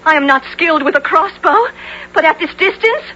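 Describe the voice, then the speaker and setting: A woman's voice: very high voice, animated, fairly quick, pushed and bright, exaggerated voice. An actress playing a frightened but defiant young runaway disguised as a boy in a radio drama. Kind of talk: 1970s radio drama acting. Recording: muffled, hissy old radio broadcast.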